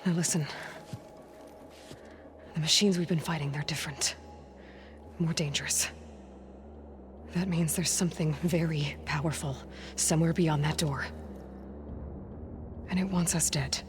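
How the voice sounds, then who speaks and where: A woman playing a blunt, guarded young outlander machine hunter in a video game, plain-spoken and firm, at times hushed. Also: low-pitched, fairly even intonation, unhurried, long pauses, noisy background. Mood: low, steady. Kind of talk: naturalistic video game acting. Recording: music behind the voice.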